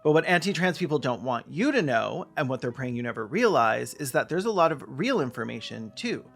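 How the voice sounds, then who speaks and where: A man's voice: medium pitch, very animated, brisk, vocal fry, fairly bright tone. A man narrating a scripted, argumentative video essay with emphatic, pointed delivery.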